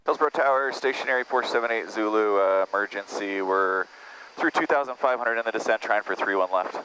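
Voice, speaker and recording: A man's voice: brisk, sharp tone, poor audio. A man speaking as a pilot over aviation radio in an emergency, in informal but procedural phrasing. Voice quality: low-pitched. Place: small airplane cockpit in flight. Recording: clear engine-cockpit and radio noise. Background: vehicle noise, radio clicks.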